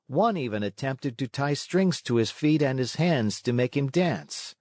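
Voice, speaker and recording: A man narrating a children's read-along story in an expressive, scripted style. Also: medium pitch, unhurried, dry recording, clean studio sound.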